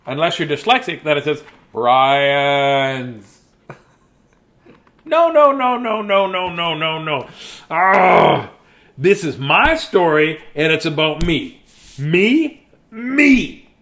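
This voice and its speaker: An exasperated, self-important storybook narrator acted out by a man, whiny and insistent. The voice leaps up and down with bright, forceful protest. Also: on the high side, very animated, slow, exaggerated voice. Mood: indignant.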